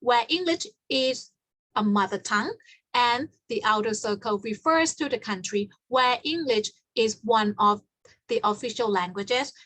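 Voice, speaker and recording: A woman walks listeners through her research in a measured, explanatory and slightly formal manner. Her voice sits fairly high. The sound is dry and close, with no room echo. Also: a bit bright. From Thailand. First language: Thai. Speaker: researcher presenting her study. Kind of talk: academic conference presentation.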